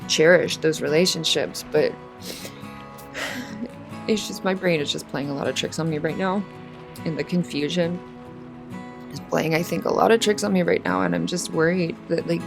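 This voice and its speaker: A woman playing a fragile, heartbroken woman after a breakup in an audio roleplay, halting and stammering as she doubts herself. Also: on the low side. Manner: halting, stammering, rambling, sighing. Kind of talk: emotional audio roleplay acting.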